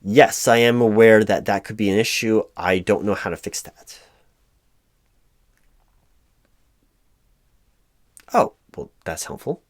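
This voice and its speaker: A man playing a flustered, hapless corporate recruiting manager in a one-sided spoken roleplay, hesitant and stammering, with an awkwardly polite, monotonous delivery. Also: fairly low pitch, normal speed, natural voice. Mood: hesitant, frustrated. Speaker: job interviewer.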